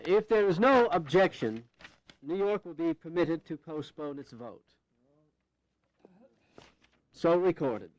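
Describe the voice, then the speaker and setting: Formal congressional chairman, a male actor, fairly high voice. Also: lively intonation, normal speed, muffled recording, lightly acted. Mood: procedural, dry. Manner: formal, crisp calling out. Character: brisk, authoritative presiding officer of colonial congress. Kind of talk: dramatized audio history.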